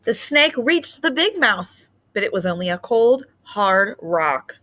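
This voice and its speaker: A woman teacher leads a read-aloud lesson for children. Her voice is bright and mid-pitched, and she takes her time, phrasing things simply and expressively. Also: a little slow. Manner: simple explanatory phrasing, expressive when reading.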